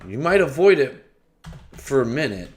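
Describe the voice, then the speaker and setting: A man's voice: medium pitch, very animated, normal speed. A man streaming casual commentary, joking and rambling, often trailing off, restarting and scatting nonsense syllables. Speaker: streamer reacting to a video.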